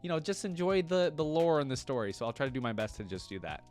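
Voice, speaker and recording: A man's voice: a little high, big pitch swings, quick pace, a little mellow, faint music behind. A man hosting a reaction video, talking casually and thinking aloud in a rambling way, often checking himself with a questioning tag. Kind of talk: casual reaction commentary to camera.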